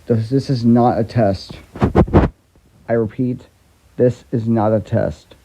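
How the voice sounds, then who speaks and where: A man playing a grave president in an amateur emergency broadcast drama, halting and repeating his phrases. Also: steady tone, heavy background noise. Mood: grave, resigned. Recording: dull, muffled low-fi broadcast audio.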